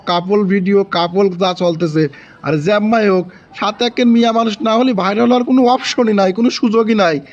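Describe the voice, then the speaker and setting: A man acts out a comic cartoon guru who lives in the forest. His voice is notably high, with a Bangladeshi accent. He preaches and chides in an exasperated way, repeating his orders for emphasis. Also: a little mellow, close to an everyday voice. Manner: preachy, chiding, repeats commands. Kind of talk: exaggerated comic cartoon acting.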